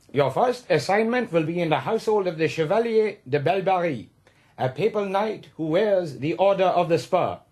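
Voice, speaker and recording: An actor playing a scheming, genial young Prussian captain with a German accent and inverted word order in a radio drama. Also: male voice, high voice, animated, moderate pace, darkish tone. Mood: briefing, secretive.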